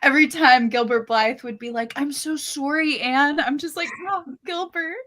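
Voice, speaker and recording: A woman in a casual video-call chat, playful and quick to laugh. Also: sits high, pushed and bright, slight background noise.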